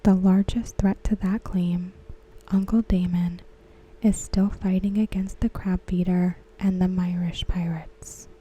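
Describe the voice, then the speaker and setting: Slow breathy whispering woman. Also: fairly low pitch, flat delivery, mellow, poor audio. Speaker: ASMR creator. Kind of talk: soft-spoken ASMR recap.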